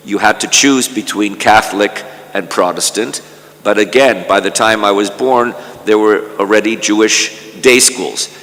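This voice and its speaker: An older man lectures at a conference in a slow, deliberate way, circling back with repeated words before finishing each point. His voice has a bright, forceful edge. The sound carries a long reverb from the conference hall. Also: lowish voice. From Canada. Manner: slow and measured, often repeating words as he restarts. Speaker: conference speaker. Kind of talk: academic lecture.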